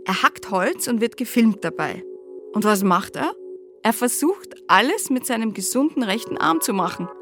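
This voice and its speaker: An actress playing a reflective psychoanalyst in a radio drama, talking conversationally and asking herself questions. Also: female voice, a little high, expressive, moderate pace, fairly bright tone. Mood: musing, bemused. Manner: conversational, pondering, rhetorical questions. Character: reflective female psychoanalyst, musing wryly on history. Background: music.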